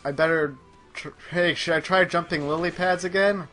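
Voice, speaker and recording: Male game-show streamer, higher-pitched and sharp, easygoing play-by-play chat, music behind. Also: steady tone, normal speed. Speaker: gaming show host playing a video game. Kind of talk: casual chat, live game commentary. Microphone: microphone on a group internet voice call.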